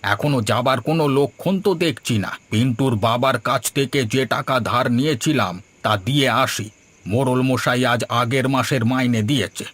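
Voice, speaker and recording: A man's voice: medium pitch, normal speed, fairly bright tone, natural voice. A man voicing a thrifty, exasperated older father-in-law in a cartoon, grumbling and sarcastic. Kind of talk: Bengali cartoon dialogue. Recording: music under the voice. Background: music.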